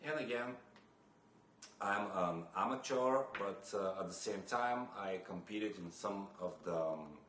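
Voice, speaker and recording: Deep-voiced man vlogging. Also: a little slow, fairly bright tone. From Bulgaria. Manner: hesitant, many fillers and restarts. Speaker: photography vlogger. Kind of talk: casual to-camera talk. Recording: echoey room with faint noise.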